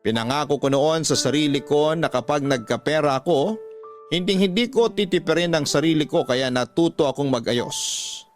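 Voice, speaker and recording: A man's voice: a little high, normal speed. A man narrating a first-person letter as a scripted radio drama story. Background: faint music.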